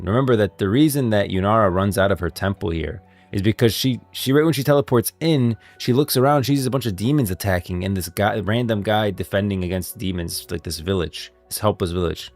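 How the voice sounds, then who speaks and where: A man's voice: fairly low pitch, fairly quick, hardly any pauses, faint music behind. A man hosting a stream of game lore commentary, moving between casual talk and scripted reading. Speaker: streamer, host.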